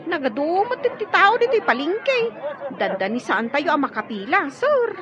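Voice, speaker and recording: A woman's voice: sits high, sing-song, moderate pace, crowd noise. A woman playing a polite, dutiful young household helper in a radio drama, speaking deferentially. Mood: obliging. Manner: deferential, obliging. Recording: dull lo-fi audio, music behind.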